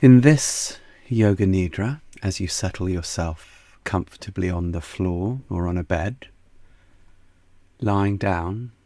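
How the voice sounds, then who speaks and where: Slow, gravelly-voiced man guiding a meditation. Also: deep voice, no echo. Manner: soft, soothing, unhurried guidance. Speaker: meditation guide. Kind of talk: guided yoga nidra meditation.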